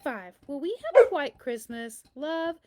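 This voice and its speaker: A woman's voice: high voice, lively intonation, a little slow, noisy background. A woman voicing a curious, earnest seven-year-old girl reading out her letters in a children's storybook, eager and full of questions. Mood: earnest, questioning. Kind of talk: children's storybook read-aloud.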